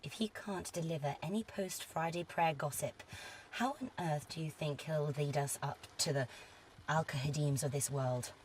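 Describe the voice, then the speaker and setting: An actress playing a brusque, sardonic, impatient intelligence officer in a radio drama. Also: female voice, deep voice, normal speed, a little mellow, close to an everyday voice. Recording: faint background ambience noise.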